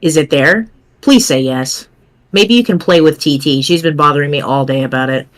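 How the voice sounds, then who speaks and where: A woman voicing a cocky, deadpan teenage boy in an amateur dramatic reading of a webcomic. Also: low-pitched, moderate pace, natural voice. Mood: smug. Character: cool, cocky teenage boy chatting online, teasing and bragging.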